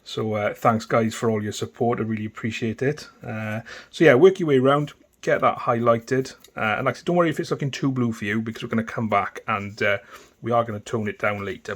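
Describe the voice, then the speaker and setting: A man with a Welsh accent guides viewers through painting a model in a low, easy voice. He talks casually, pausing on fillers and restarting sentences as he works. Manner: relaxed, chatty, with frequent fillers and restarts. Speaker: miniature painting tutor. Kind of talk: casual step-by-step tutorial narration.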